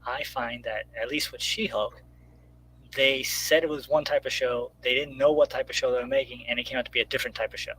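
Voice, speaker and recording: A man's voice: mid-range voice, fairly even intonation, fairly quick, sharp tone, breathy edge, poor audio. A man in a casual pop-culture discussion, explaining his opinions through examples as a panelist. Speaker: talk show panelist.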